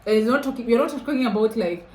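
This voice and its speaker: A woman's voice: fairly high pitch, expressive, fast, darkish tone. Woman, host, casual sit-down talk, explanatory, frequent "like", roomy echo. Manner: explanatory, uses "like" often. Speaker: channel host.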